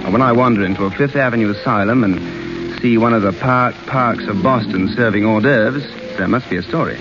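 An actor voicing a jovial wealthy polo player in a 1930s radio drama, breezy and chummy. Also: male voice, fairly low pitch, fairly quick, muffled recording, over music, natural voice. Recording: scratchy 1930s radio broadcast.

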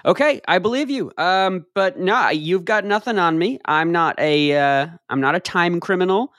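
Animated young man, dry close sound. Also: moderate pace, dry recording. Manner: animated, incredulous questioning, jumps high in pitch. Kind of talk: improv comedy.

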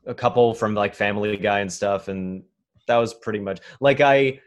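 A man's voice: fairly low pitch, normal speed. A man in his twenties from New York City, a stand-up comedian being interviewed, talking in a chatty, rambling way full of fillers. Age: 20s. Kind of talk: casual conversational interview.

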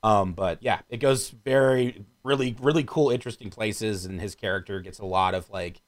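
A man's voice: on the low side, normal speed. A man chatting casually and enthusiastically about films as a podcast co-host, with slangy, profane phrasing.

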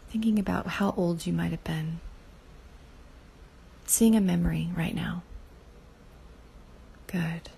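A woman leading a guided inner-child meditation, speaking softly and slowly, often in a half whisper. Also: fairly low pitch, vocal fry, breathy edge, rough recording.

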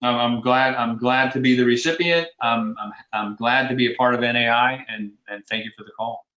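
A man talks with earnest, homespun conviction, often stopping and restarting mid-thought. His voice sits at an ordinary middle pitch. The recording picks up a lot of room echo. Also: steady tone, bright, forceful tone, highs cut off. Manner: earnest, folksy, halting with restarts. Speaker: commercial real estate broker, guest. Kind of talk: casual advice in podcast interview. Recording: roomy echoey sound.

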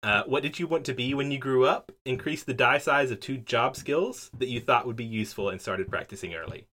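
A man acting as game master for a tabletop game, explaining the rules casually with lots of fillers and quick laughs. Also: medium pitch. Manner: lively, laughs easily, many fillers. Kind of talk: running a tabletop game session.

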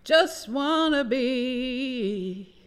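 A woman's voice: sits high, lively intonation, very slow. A woman singer performing songs for a theatre piece. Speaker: singer-songwriter. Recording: loud piano accompaniment. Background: piano music.

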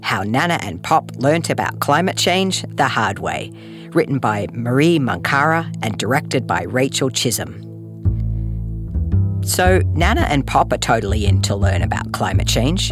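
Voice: medium pitch, sing-song, a little slow. A podcast host reading scripted credits and announcements in a friendly manner, addressing listeners directly. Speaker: podcast host and series creator. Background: music.

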